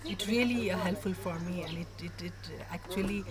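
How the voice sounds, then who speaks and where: A woman from Pakistan, reflecting earnestly in an interview, halting with fillers. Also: lowish voice. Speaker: meeting participant. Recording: loud crowd chatter behind.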